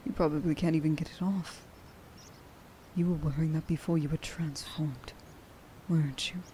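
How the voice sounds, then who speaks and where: Woman doing a grieving huntress in asmr roleplay, stern n intense, takes her time, soft dark tone, bit of background rumble. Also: creaky. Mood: hushed, shocked. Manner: slow, halting, intense delivery with sighs. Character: stern, grieving young huntress who hates werewolves. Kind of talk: dramatic ASMR roleplay monologue.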